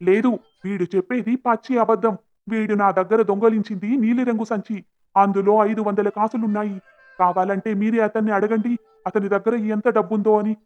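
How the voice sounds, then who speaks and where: Brazen lying villager acted by a man, very high, loud, indignant, accusing, cartoon fable with music behind. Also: steady tone, moderate pace, lo-fi sound, natural voice. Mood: insistent. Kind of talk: cartoon moral-story acting.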